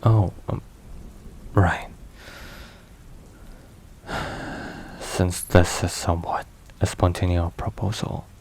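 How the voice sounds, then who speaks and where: A man playing a brooding but tender mafia boss boyfriend in an intimate ASMR roleplay, murmuring haltingly with hushed pauses. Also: sits low, steady tone, a little hiss or hum. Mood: sheepish, tender. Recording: rain and thunder behind voice. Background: rain and thunderstorm ambience.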